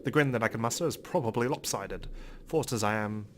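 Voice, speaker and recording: A man's voice: fairly low pitch, a little fast, a little hiss or hum. Man reading a game aloud dramatically. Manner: dramatic reading with joking asides. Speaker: let's player. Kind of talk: reading a visual novel aloud with commentary.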